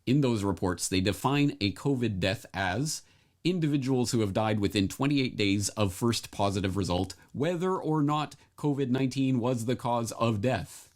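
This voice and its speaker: A man's voice: mid-range voice, moderate pace, a little mellow. A man reading scripted documentary narration, laying out information in a formal register.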